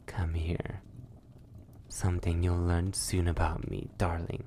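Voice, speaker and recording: A man's voice: low-pitched, flat delivery, slow, background noise. A man playing a sadistic, possessive, centuries-old vampire in an intimate ASMR roleplay, silky and menacing, often dropping toward a whisper. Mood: commanding, pleased.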